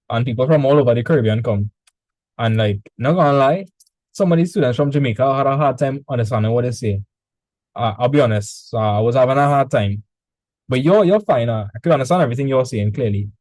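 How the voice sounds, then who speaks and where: Man, Trinidadian accent, math tutor, mellow tone, dry close sound, casual chatty delivery. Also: medium pitch, no echo, low-quality recording. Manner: relaxed, chatty, repeats words when starting. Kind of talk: casual online tutoring session. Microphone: video call.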